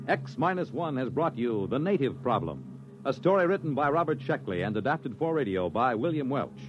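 An American man announces for 1950s radio from a script. His mid-pitched voice rises and falls widely. The recording is dull, with soft music behind him. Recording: muffled old radio broadcast, faint music behind.

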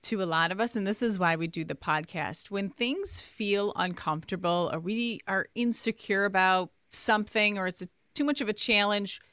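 A woman hosting a podcast interview, chatty and quick with short encouraging replies. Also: medium pitch, fairly bright tone, smooth, no room sound, studio quality. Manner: chatty, reacts with short affirmations. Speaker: podcast host. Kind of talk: casual interview.

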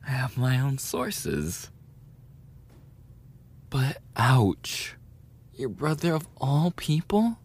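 A man's voice: mid-range voice, very animated, very slow, pauses often, slightly rough, heavily acted. A man playing a cruel, mocking teenage delinquent bully in a dramatic roleplay audio, with a taunting, sneering, menacing delivery.

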